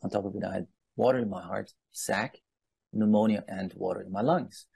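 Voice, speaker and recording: A man in his fifties from Iran speaking as a guest, telling personal stories and explaining in a deliberate, emphatic manner with rhetorical asides. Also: sits low, fairly even intonation, vocal fry, dark tone, husky, no echo. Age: 50s. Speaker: guest speaker on palm leaf reading.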